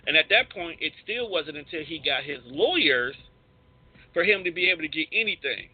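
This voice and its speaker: An American man with a husky voice hosting an informal radio talk show, searching for his words as he goes. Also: on the high side, animated, normal speed. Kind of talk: casual topical talk. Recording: narrow tinny phone-like line.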